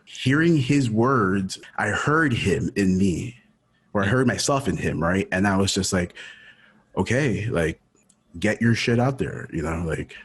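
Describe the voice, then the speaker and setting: A man's voice: lowish voice, animated, measured pace, breathy edge. A man in his thirties, born in Ecuador and raised in Miami, talking through a personal story as an interview guest, casual and full of "dude" and "like.". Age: 30s. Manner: casual, says dude and like often.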